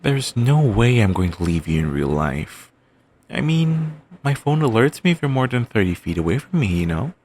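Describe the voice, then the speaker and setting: A young man voicing an obsessive, doting boyfriend in an ASMR audio roleplay, murmuring soft, soothing, controlling reassurances. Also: very animated, normal speed, slight background noise. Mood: tender. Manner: coaxing, endlessly affectionate, quietly controlling. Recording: home mic, low-quality.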